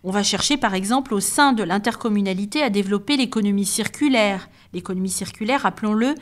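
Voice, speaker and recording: A woman's voice: mid-range voice, fast talker. A woman giving a structured educational podcast explanation in a didactic, methodical manner, signposting each point.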